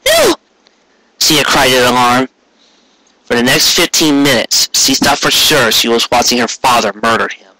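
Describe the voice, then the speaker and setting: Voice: mid-range voice, steady tone, normal speed, sharp tone, no room sound, rough recording. A reader giving a dramatic narration of a scripted story. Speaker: fan fiction reader.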